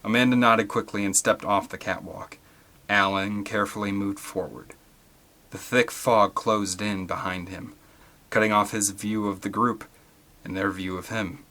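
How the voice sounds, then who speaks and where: A man's voice: fairly low pitch, fairly even intonation, unhurried, bright, forceful tone. A man reading an adventure story aloud as scripted, dramatic audiobook narration. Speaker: audiobook reader.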